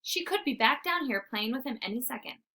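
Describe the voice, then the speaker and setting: A woman voicing a kindly female dog with a gentle, friendly voice for a storybook. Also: high-pitched, expressive, a little fast, full character voice. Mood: reassuring. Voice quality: gentle, lovely voice.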